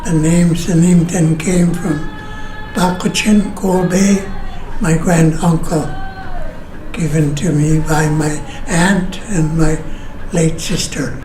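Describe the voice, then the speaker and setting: An older man, a community elder from British Columbia, speaking in deliberate, halting phrases in a documentary interview. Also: a little high, flat delivery, darkish tone. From Songhees, British Columbia, Canada. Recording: echoey room with faint noise.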